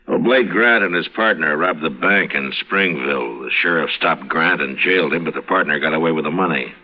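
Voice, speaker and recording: Very deep calm marshal voice, long reverb, dull old radio. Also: male voice. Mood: firm. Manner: calm, measured. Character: steady stern frontier marshal. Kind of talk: 1950s radio drama acting.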